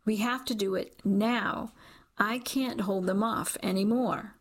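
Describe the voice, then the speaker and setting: A woman's voice: medium pitch, fairly even intonation, takes it slowly. A woman reading the part of an insistent young male coworker in an audiobook, pressing and urgent.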